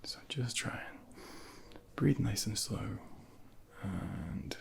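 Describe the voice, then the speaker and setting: A man softly whispering a medical ASMR role play as a junior doctor, gently reassuring and instructing, close to a binaural microphone. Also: fairly low pitch, slow. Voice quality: breathy whispering, gravelly.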